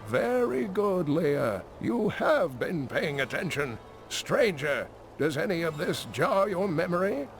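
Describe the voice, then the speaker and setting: An actor playing an earnest old scholar and kindly uncle in a video game, deliberate and theatrical. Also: male voice, on the high side, very animated, faint music behind, heavily acted. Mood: approving, instructive. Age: older.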